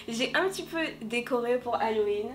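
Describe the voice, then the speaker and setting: A woman vlogger and fantasy author reviewing books in a casual, upbeat way, with frequent filler pauses, filmed in a room she decorated for Halloween. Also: on the high side, expressive, a bit bright, slight background noise, rough recording. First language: French. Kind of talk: casual book review to camera.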